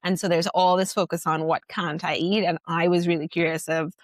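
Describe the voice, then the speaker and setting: A woman's voice: fairly low pitch, level tone, brisk, no echo, clean studio sound. A woman from Trinidad and Tobago, a podcast guest, talking casually and reflectively, with lots of filler words and restarts. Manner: chatty, many "like" fillers and self-restarts. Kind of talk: casual reflective interview talk.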